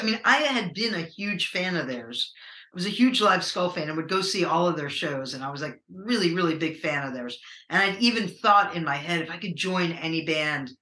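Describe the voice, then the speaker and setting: A middle-aged American woman with a low voice, a musician talking casually in an interview, rambling and hesitant with many fillers. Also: bright, forceful tone, echoey room. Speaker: musician guest.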